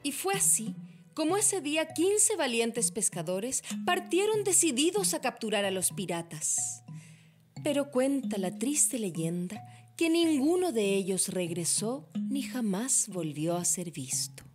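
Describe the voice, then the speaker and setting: A woman's voice: on the high side, very animated, measured pace, a little mellow, soft background music. A woman playing the storyteller of a sad legend in a radio drama, narrating in a dramatic, formal way.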